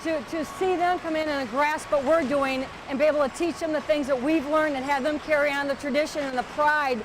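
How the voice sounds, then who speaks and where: A woman's voice: high-pitched, steady tone, brisk. A woman plant worker talking informally in an interview. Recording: clear factory noise behind voice.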